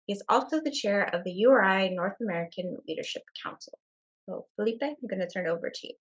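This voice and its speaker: Woman moderator, mid pitch, measured with fillers, muffled lo-fi call audio. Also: breathy edge. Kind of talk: reading panel introductions.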